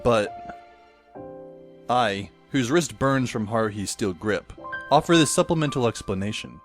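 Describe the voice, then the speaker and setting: A man's voice: mid-range voice, animated, measured pace, faint music behind. A man playing a wry, skeptical teenage schoolboy who narrates his own story in an audio drama, with a sardonic manner.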